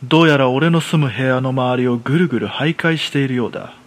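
A man as a reclusive thirtysomething narrator, rough-spoken. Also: mid-range voice, quick pace, lightly acted. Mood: uneasy. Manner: colloquial, rough masculine storytelling. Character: reclusive unemployed man in his thirties, jittery, self-deprecating. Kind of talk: first-person horror story narration, radio drama.

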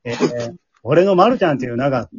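Kansai guy hosting a chatty show w friends, husky voice, big swings in pitch, kinda muffled remote recording. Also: expressive. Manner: teasing, quick to laugh. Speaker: leader of an amateur drama group, hosting. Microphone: remote recording from home.

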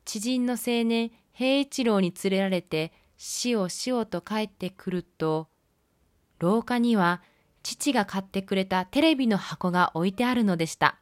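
A woman presents a scripted film review with a medium-pitched voice. Her delivery is measured and polite, explaining each point in turn. The sound is dry, with no room echo. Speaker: film review podcast host.

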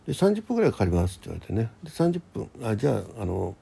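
A man's voice: on the low side, sing-song, rapid-fire, vocal fry, mellow, breathy edge. A man hosting a casual solo radio talk in a rambling, conversational manner. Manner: rambling, conversational, acts out quoted exchanges.

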